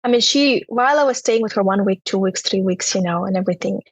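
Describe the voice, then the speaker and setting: A young woman from Turkmenistan with a Turkmen accent, telling her personal story as an interview guest in a halting way, with many "you know" fillers. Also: mid-range voice, no room sound.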